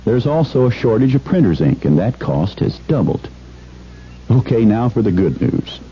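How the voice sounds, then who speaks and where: A man's voice: fairly low pitch, very animated, normal speed. An American man reading a scripted, conversational 1970s radio commercial. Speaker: commercial spokesman. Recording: dull, hissy vintage radio broadcast.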